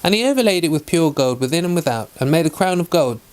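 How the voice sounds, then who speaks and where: A man hosting a daily Bible read-along, speaking in a measured, deliberate way. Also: on the high side, expressive, clear, resonant tone. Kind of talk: reading scripture aloud with casual chat.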